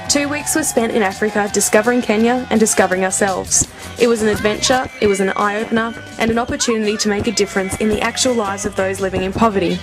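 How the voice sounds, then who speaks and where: A woman's voice: medium pitch, fairly even intonation, fast talker, music in the background, rough recording. A woman reporter reading an upbeat, scripted voice-over for a news piece.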